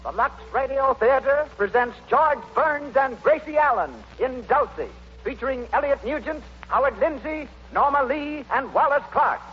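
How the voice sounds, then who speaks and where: A 1930s American radio announcer, a man with a high-set voice and a grand, declamatory delivery. He reads scripted copy formally. Music plays clearly behind him on a muffled old broadcast recording. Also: normal speed.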